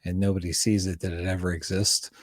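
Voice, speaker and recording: A Canadian man chatting casually as an interviewer, wordy and halting, often breaking off and restarting his sentences. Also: sits low, level tone, no echo, studio quality. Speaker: interviewer and filmmaker. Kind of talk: casual podcast interview chat.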